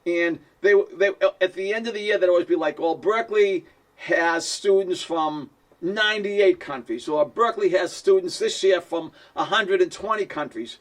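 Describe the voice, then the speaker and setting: A man's voice: sits high, moderate pace, distant mic. A man with a strong Boston accent, talking casually to camera as a musician and producer, chatty and self-deprecating with frequent chuckles. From Boston, USA. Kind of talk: casual direct-to-camera talk.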